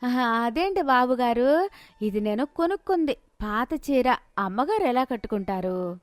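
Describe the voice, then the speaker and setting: Woman playing a high-pitched, meek village housemaid. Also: sing-song, a little slow, no room sound. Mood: nervous, obliging. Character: timid, deferential, sly village housemaid.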